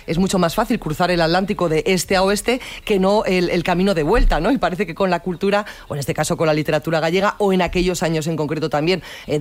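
High-voiced, fast-talking male interviewer. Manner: quick, thinking aloud with fillers. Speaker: host.

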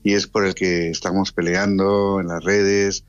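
A mature man from Spain speaks as an interview guest in a low voice with a Spain accent. He is conversational and halting, repeating words for emphasis, and the line sounds dull and band-limited. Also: flat delivery, smooth, no room sound. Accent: Spain Spanish. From Madrid or Murcia, Spain.

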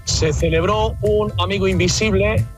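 A man's voice: high voice, fairly even intonation, moderate pace, over music. A man with an accent from Spain calling in to a radio show. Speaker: radio caller.

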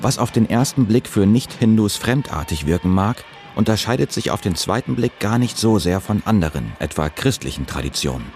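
Calm male narrator, very low pitch, documentary read over music. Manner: calm, measured reading.

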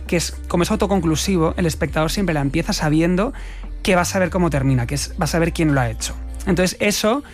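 Fast-talking Spanish man, animated actor. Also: high voice. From Spain. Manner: fast, animated, laughs often, many restarts. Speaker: actor, interview guest. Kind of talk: casual interview chat.

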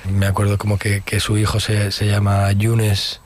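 Man, storytelling interviewee, low voice, acts out quotes. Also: monotone, normal speed. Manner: storytelling, acts out quotes, frequent tag questions. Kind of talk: conversational interview.

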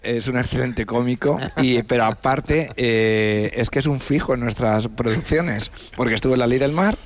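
A man's voice: medium pitch, normal speed. A man, the creator of a series, speaking as a guest in a casual radio interview, earnest and thoughtful, often repeating words.

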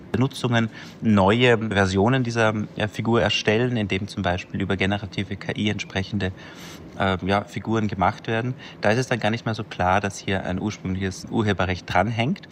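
Male expert, lowish voice, faint room ambience, lots of "um"s. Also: fairly even intonation, normal speed, a little hiss or hum. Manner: explanatory, with frequent hesitations. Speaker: media researcher. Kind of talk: interview answer.

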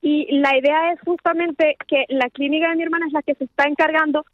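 A woman's voice: high-pitched, fairly even intonation, brisk, no echo, phone-quality sound. A Venezuelan woman interviewed on the radio, speaking earnestly and repeating words as she searches for them. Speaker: guest, charity organizer.

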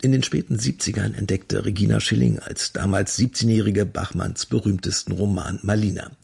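A male radio host reads a culture segment from his script. His voice is gravelly and quite husky, with a strong fry, and his delivery stays calm and measured. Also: lowish voice.

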